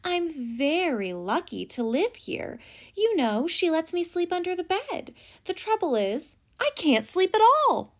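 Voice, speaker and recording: Lady doing a shy worried gator from a kids storybook, high voice, very up and down. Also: big pitch swings, measured pace, full character voice. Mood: polite, weary. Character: timid alligator afraid of the dark, male. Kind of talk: children's storybook read aloud.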